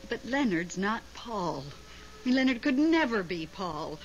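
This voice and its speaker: Middle-aged widow: plaintive, devoted, animated intonation, stagey radio-play delivery on muffled vintage tape. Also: female voice, mid-range voice, a little slow. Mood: stubborn, wistful. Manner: emotional, theatrical. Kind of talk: 1970s radio drama acting. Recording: dull, noisy old radio broadcast recording.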